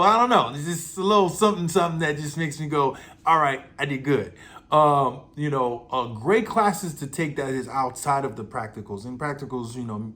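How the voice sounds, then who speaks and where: A man's voice: mid-range voice, a bit bright. A man from Haiti, an actor, giving casual advice to theater students in a conversational way, with many fillers and repeated words, laughing easily.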